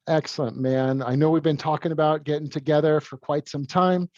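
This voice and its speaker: A man's voice: on the high side, steady tone, fairly quick, a little mellow, no room sound, lo-fi sound. A man hosting a podcast, introducing and questioning his guest in a laid-back, friendly way. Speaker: podcast host. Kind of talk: casual interview.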